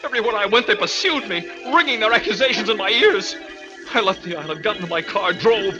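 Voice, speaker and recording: An actor playing a guilt-haunted man in a 1940s radio thriller, melodramatic and anguished, moving between hushed narration and frantic outbursts. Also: high voice, lively intonation, quick pace, muffled recording. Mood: desperate, driven. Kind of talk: 1940s radio suspense acting, first-person narration. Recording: old 1940s radio broadcast, music behind.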